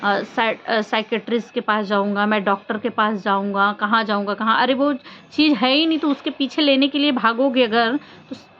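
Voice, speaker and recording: Female vlogger, higher-pitched, emphatic chatty commentary; dull lo-fi sound with some noise. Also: fairly even intonation, normal speed, hardly any pauses. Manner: conversational, emphatic, with rhetorical questions. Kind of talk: casual opinion commentary on social media drama. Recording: dull lo-fi audio with background noise.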